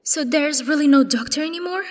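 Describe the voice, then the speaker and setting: An actress playing an indignant, resolute military agent in an audio drama, speaking in a stern, accusing way. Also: female voice, high voice, brisk, natural voice. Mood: grave, resolute. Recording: long hall reverb.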